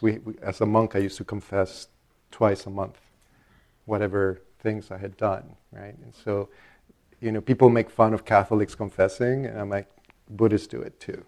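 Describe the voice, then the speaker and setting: A man from El Salvador giving a relaxed, conversational dharma talk to a meditation group. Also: fairly low pitch, moderate pace. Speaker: meditation teacher.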